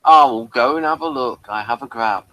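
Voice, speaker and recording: A man's voice: medium pitch, moderate pace, pushed and bright, no echo. A man hosting a casual review discussion in an informal, conversational way. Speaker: host.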